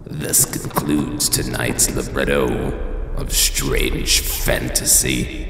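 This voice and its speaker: A deep, gravelly man hosts the drama's ominous close, his words drawn out very slowly. He sounds in a long echoing hall. Thunder rolls behind him. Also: level tone, a bit bright, a little hiss or hum. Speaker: show host. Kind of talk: dramatic closing narration.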